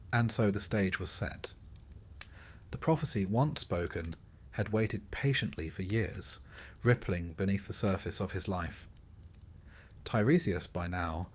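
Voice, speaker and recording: A man narrating a scripted sleep story in a calm, measured manner with dry, wry touches and a husky voice. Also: on the low side.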